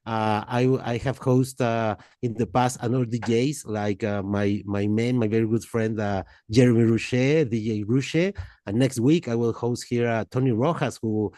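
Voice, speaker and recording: Halting man hosting a podcast. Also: medium pitch, fairly even intonation, mellow, no echo. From Mexico. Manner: halting, many fillers and restarts. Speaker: podcast host. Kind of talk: casual interview chat.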